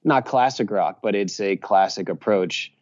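A man's voice: on the low side, a little slow, darkish tone, highs cut off. A man from Virginia, a musician and journalist, giving rambling casual interview answers full of fillers and restarts.

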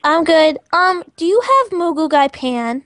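A woman with a high voice makes a casual prank call in a dry, close sound. She keeps up innocent, chirpy small talk. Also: big pitch swings, unhurried, a bit bright, no room sound. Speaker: prank caller.

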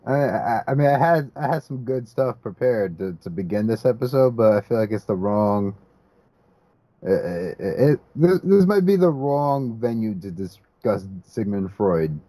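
A man's voice: lowish voice, very animated, unhurried, dark tone, no echo, low-quality recording. A man co-hosting a casual podcast, talking hesitantly with many restarts and repeated words. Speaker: podcast co-host.

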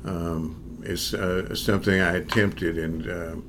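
A man in his sixties, a magician, telling his story in an interview at an unhurried pace, pausing often with fillers and chuckling now and then. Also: sits low, fairly even intonation, noisy background. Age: 60s. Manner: hesitant, many pauses and fillers; reflective, chuckles as he talks.

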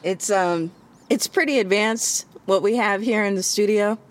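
A woman's voice: medium pitch, unhurried. A woman co-hosting a casual podcast, chiming in with dry, teasing quips. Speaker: podcast co-host. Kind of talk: casual chat. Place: parked car. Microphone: home podcast mic.

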